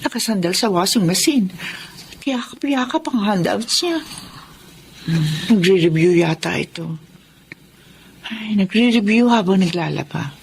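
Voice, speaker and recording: A woman playing a kind, concerned household employer with a gentle, caring manner. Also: mid-range voice, expressive, measured pace, vocal fry, pauses often, a little hiss or hum. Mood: surprised pity.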